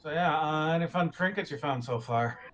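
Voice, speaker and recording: A man's voice: a little high, brisk, a bit bright, muffled recording, lightly acted. A man playing a laid-back spaceship crewman in a tabletop roleplay, talking casually and hesitantly with some background noise. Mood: offhand, curious.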